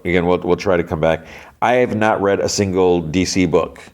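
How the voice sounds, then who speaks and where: Stuffy-nosed man with a very deep voice. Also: fairly even intonation, normal speed, a little mellow. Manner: rambling, self-interrupting, many restarts. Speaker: podcast host. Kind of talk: casual solo comics chat.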